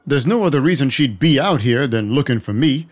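A man plays a weary male vampire detective in an audio drama. He narrates in first person like a noir gumshoe, dry and deadpan, with a measured delivery and a mid-range voice. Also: mid-range voice, close to an everyday voice. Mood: wry, matter-of-fact.

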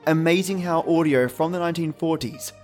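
A man's voice: on the high side, a little fast, darkish tone, soft background music. A man hosting a podcast with casual commentary addressed to listeners. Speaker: podcast host.

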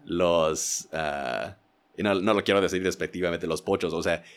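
Very low-voiced man, hesitant. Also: moderate pace. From United States, Indiana. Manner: hesitant, self-correcting, qualifying himself. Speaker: podcast guest. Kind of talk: casual interview, personal reflection.

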